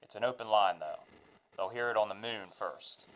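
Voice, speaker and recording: A man's voice: on the low side, moderate pace, highs cut off, slight background noise, natural voice. A man playing a world-weary, folksy spaceship engineer in a science fiction audio drama, casual, grumbling and full of wisecracks. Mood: weary, wry.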